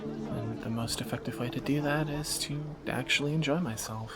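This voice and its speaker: A man's voice: mid-range voice, normal speed, loud music behind the voice, natural voice. A man playing a wry, flirtatious thief on the run in a romantic audio drama, speaking in teasing, sardonic banter to a companion. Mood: fond, playful.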